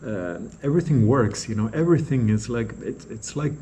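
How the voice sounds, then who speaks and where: A man's voice: medium pitch, lively intonation, brisk, mellow, slight background noise. A man from Norway, a native Norwegian speaker, talking casually and unscripted as a vlogger, rambling and repeating words as he goes. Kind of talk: casual unscripted vlog replies to viewer comments.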